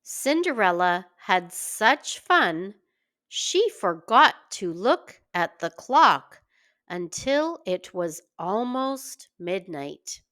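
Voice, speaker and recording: A woman narrates a storybook for young children. She takes her time over every phrase, going very slowly and deliberately. Her pitch moves in a lively, expressive way around a middling register. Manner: slow, deliberate, expressive delivery. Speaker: children's story reader and teacher. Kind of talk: scripted read-aloud storytelling for young children.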